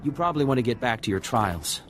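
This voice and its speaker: A man's voice: mid-range voice, expressive, quick pace, natural voice. Game casting: male actor as practical rescue officer, reassuring, middle register, faint ambient noise. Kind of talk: video game dialogue.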